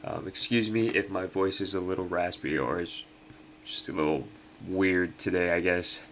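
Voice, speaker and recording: A man's voice: low voice, fairly even intonation, normal speed, a bit bright, slight background noise. A young man, nasal from allergies, casually hosting a fantasy basketball picks breakdown with lots of ums. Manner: laid-back, many ums and uhs. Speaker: fantasy sports host.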